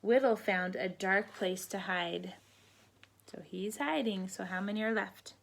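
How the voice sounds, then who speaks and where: A woman reading picture books aloud to children in a teacherly way, pausing to prompt listeners with questions. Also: mid-range voice, vocal fry. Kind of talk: read-aloud storybook narration with counting asides.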